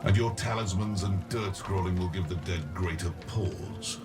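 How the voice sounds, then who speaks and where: An actor playing a solemn, resolute knight defending a village in a fantasy game, stiff and earnest. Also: male voice, deep voice, moderate pace, loud music behind the voice, natural voice. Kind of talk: dramatic fantasy game acting.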